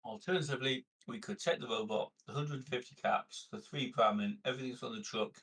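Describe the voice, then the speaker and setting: A man's voice: mid-range voice, fairly quick, a bit bright, slightly rough, rough recording. A man bantering bluntly and casually as a player in a tabletop roleplaying game.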